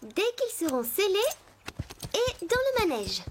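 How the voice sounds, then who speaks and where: Very high, sensible cartoon girl. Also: wildly swooping pitch, measured pace, a little hiss or hum, heavily acted. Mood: concerned. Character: sensible girl rider at a stable. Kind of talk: dubbed cartoon acting. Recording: music bed behind the voice.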